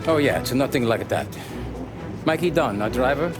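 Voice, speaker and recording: A man's voice: mid-range voice, lively intonation, music in the background, natural voice. Composed mob adviser played by a man, businesslike. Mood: firm, businesslike. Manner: measured, businesslike. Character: composed, firm older mob adviser giving orders.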